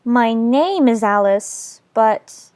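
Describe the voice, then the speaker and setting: A man voicing a polite, earnest seven-year-old girl who speaks gently. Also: very high-pitched, expressive, drawn-out words, no echo, studio quality, voice pushed up, exaggerated voice. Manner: gentle, polite, a bit anxious.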